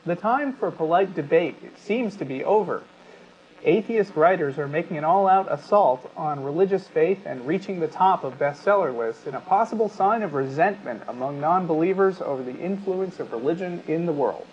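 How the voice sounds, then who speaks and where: A man co-hosting a talk show, making his points in a deliberate, rhetorical, sardonic way. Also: fairly high pitch, animated, darkish tone, lo-fi sound. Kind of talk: opinionated commentary, reading excerpts aloud.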